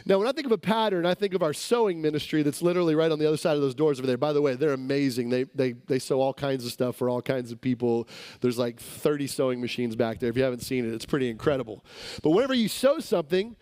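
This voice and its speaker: A man's voice: medium pitch, fast, darkish tone, dry recording. A man preaching a sermon to his congregation, emphatic and repeating words for stress, his voice strained from recent sickness. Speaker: pastor.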